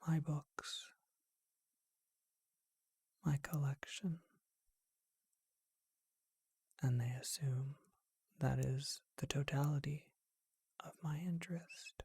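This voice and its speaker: A performer voicing a charming, courteous, bookish vampire shopkeeper in a breathy, husky whisper, with genteel and hesitant phrasing, for an ASMR roleplay. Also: mid-range voice, steady tone, slow pace, pauses often, darkish tone, no room sound, heavily acted. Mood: musing, intimate. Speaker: bookshop owner.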